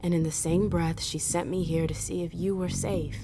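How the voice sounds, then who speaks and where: A woman plays an earnest vampire envoy in a game drama. Her voice is fairly low and her delivery direct and insistent, set over prominent music. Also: fairly even intonation, moderate pace, close to an everyday voice. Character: determined, earnest young female vampire envoy. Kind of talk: dramatic video game cutscene acting. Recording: music behind the voice.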